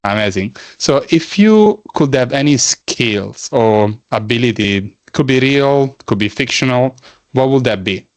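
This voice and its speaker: A man's voice: mid-range voice, expressive, measured pace, no echo. A man from Italy with an Italian accent, hosting a podcast interview with enthusiastic, friendly questions. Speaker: podcast host. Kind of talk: casual interview chat.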